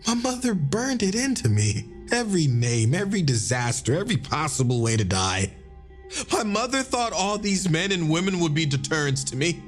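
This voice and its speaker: Anguished male astronaut, emotional sci-fi audio drama, very animated intonation over clear music. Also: on the high side, moderate pace. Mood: anguished, pleading. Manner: emotional, intense, wide swings in delivery. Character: anguished male astronaut haunted by space disasters.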